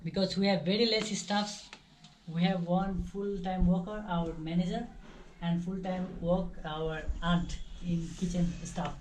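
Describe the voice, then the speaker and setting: A woman in her early twenties from Nepal with a Nepali accent, explaining things to visitors informally in halting, non-native English. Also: lowish voice, fairly even intonation, a little mellow. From Nepal, near the Indian border. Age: early 20s. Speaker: orphanage staff member. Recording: noisy amateur video sound.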